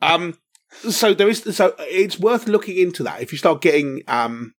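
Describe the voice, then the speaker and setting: A man's voice: a little high, very animated, moderate pace. A man co-hosting a casual tech podcast, wry and often laughing, with hesitant fillers. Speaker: podcast co-host.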